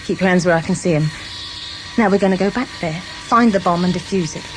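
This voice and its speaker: Woman acting a stern, lowish-voiced guard. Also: fast talker, music in the background, lightly acted, poor audio. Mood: threatening. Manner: commanding, threatening. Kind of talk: audio drama acting.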